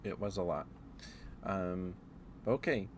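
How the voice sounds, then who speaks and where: Male ASMR livestreamer, very low register, slow rambling delivery with hesitant pauses, casual chat. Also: background noise.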